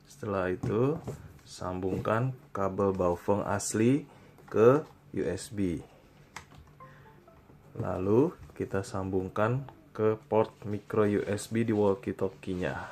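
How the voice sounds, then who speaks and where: An Indonesian man presenting a step-by-step software tutorial in a plain, instructional way, pausing between short phrases. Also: fairly low pitch, a little hiss or hum.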